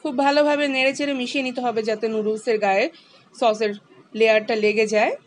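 A woman, calmly walking through a home cooking recipe step by step as the channel's host. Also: a little high, fast, rough recording. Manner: calm, instructive, explains each step.

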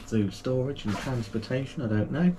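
A man's voice: mid-range voice, moderate pace, noisy background. A man, casually unboxing and reviewing gear for his channel in a small home room, chatty and full of fillers and restarts. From United Kingdom. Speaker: kayaking channel host.

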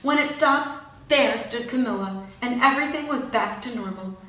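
A woman in her thirties reading a storybook to young children in an expressive, deliberate teacher's manner. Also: on the high side. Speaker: pre-K teacher. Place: indoor classroom. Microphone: camera a few feet away. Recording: very echoey room.

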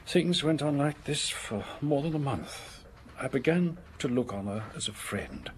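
A man's voice: medium pitch, lively intonation, a little slow, a little hiss or hum, natural voice. A man acting in a radio drama as a lonely, anxious, respectable office worker with a hesitant, formal way of speaking. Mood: earnest reminiscence.

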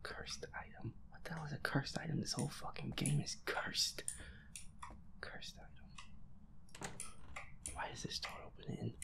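Whispering, grumbling man with a creaky voice. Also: fairly high pitch, unhurried, long pauses. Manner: feisty, grumbling, swears constantly. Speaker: gamer playing a horror game as audio roleplay character. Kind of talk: hushed gaming commentary, muttering to self.

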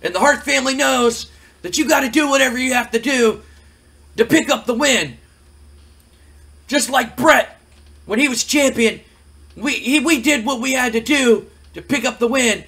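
A man voicing a brash, boastful male wrestler, declaiming a promo loudly and emphatically. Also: very high-pitched, moderate pace, vocal fry, pauses often, slightly rough, pitched above the performer's own voice, exaggerated voice. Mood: defiant, boastful.

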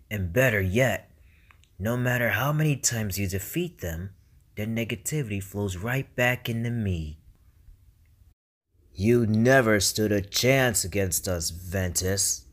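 A man voicing a sinister, taunting young villain in an amateur video game voice demo, with a husky tone. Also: on the low side. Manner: slow, taunting, menacing delivery. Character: sinister, mocking young male dark villain.

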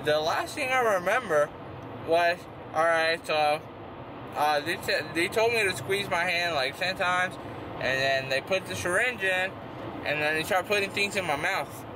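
A man's voice: high voice, animated, a little slow, background noise. A young adult man in a casual vlog, talkative and rambling while drowsy after dental surgery. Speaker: vlogger. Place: car, small house rooms and bedroom. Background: music.

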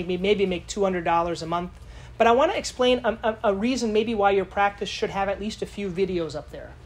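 A woman's voice: mid-range voice, slight background noise. A woman giving a live lecture to a conference audience in a casual, chatty way, often asking the room questions. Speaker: conference speaker.

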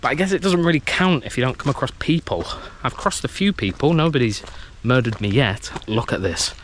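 A man's voice: medium pitch, brisk. A man travel vlogging as he explores an abandoned coastal military base in the heat, chatty and exclamatory, talking into a handheld camera. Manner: chatty, exclamatory, nervously excited. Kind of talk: casual walking commentary. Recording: outdoor noise with handling clicks.

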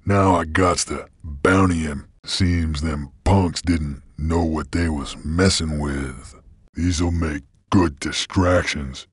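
Gruff game bounty hunter creature: rock-bottom pitch, husky gravel, Western American cowboy drawl, terse and folksy, close dry sound. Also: male voice, fairly even intonation, takes it slowly, dry recording, exaggerated voice. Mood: gruff, musing. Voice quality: gravelly, raspy. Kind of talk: video game voice acting.

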